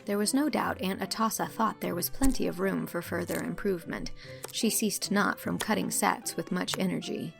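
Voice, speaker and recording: A woman's voice: lowish voice, moderate pace, vocal fry, faint music behind. A woman narrator reading a scripted storybook in an audiobook style.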